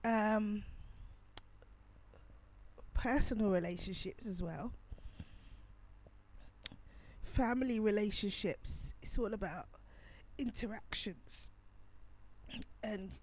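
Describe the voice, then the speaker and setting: A woman's voice: mid-range voice, slow pace, pauses often. A woman hosting a pre-recorded radio show, chatting casually about astrology while losing her voice and coughing. She rambles and corrects herself as she goes.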